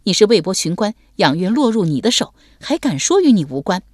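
Female narrator as fierce young woman, cold, curt, suspicious, audiobook drama, lively pitch. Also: fairly quick, no echo, clean studio sound. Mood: fierce, accusing. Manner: stern, curt, challenging.

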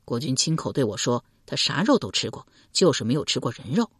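A woman's voice: very deep voice, big pitch swings, a little fast, breathy edge, no room sound, studio quality, pitched below the performer's own voice, full character voice. A woman storyteller playing a ruthless, ambitious husband from ancient times, domineering and coldly persuasive. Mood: cold, calculating.

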